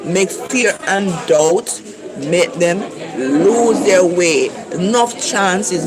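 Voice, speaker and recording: An earnest Jamaican-accented preacher speaks to online viewers, driving points home by repeating them. The voice sits at an ordinary middle pitch. A clear crowd murmurs behind. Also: poor audio. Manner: earnest, repetitive exhortation. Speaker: livestream preacher. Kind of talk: casual encouraging talk to livestream viewers.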